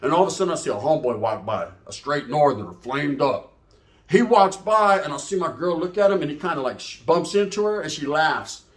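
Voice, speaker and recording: A man's voice: fairly high pitch, animated, brisk. A middle-aged man tells a comedic story informally, profane and boastful, wandering off and checking in with tag questions.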